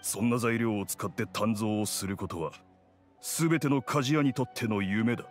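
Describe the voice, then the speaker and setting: A man voicing a gruff, proud blacksmith in a video game, speaking in a blunt, measured, rough-spoken way. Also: fairly low pitch, close to an everyday voice. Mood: solemn, earnest. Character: gruff, proud, no-nonsense male blacksmith craftsman. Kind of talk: Japanese video game voice acting. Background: background music.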